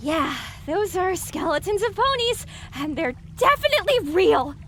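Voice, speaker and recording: A jittery young female pony , played by a woman, speaks in a high voice that swings widely. She is anxious and wry in an audio drama, with water faintly sounding behind her. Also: sing-song, unhurried, a bit bright, slight background noise, heavily acted.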